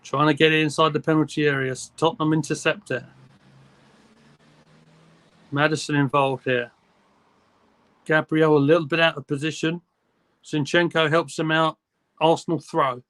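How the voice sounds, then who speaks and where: A young man giving live play-by-play commentary on a football match for fans, in brisk, clipped calls. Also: on the high side, level tone, fairly bright tone. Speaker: fan commentator.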